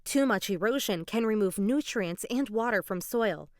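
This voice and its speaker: A woman giving an expressive, explanatory dramatized reading of an educational children's story. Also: medium pitch, moderate pace, dry recording, clean studio sound. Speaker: children's book reader.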